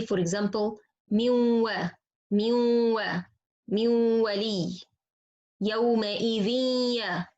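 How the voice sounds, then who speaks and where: A woman's voice: medium pitch, darkish tone. A woman with an Arabic accent, a native Arabic speaker, teaching recitation rules step by step, repeating points and asking questions that she answers herself. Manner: instructive, repetitive, poses questions then answers. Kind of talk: explanatory lesson with recited examples. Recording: dull, lo-fi class audio.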